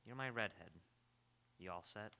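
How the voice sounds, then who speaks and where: Man acting a low, bright, teasing ex-husband. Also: moderate pace. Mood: fond, playful. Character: wry, teasing, debonair male ex-husband. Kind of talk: live radio theatre acting.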